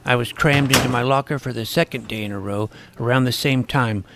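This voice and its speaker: An actor playing a nerdy middle-school boy who narrates his own superhero exploits in a dry, self-important, deadpan way. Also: mid-range voice, fairly quick, gravelly, background noise, close to an everyday voice. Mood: earnest. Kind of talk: scripted audio drama narration.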